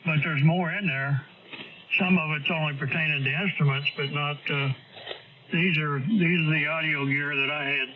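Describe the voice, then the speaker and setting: A man's voice: on the high side, fairly even intonation, normal speed. A man chatting casually as an amateur radio operator over an on-air radio link. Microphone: amateur radio transmission. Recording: dull amateur radio signal, clear static noise.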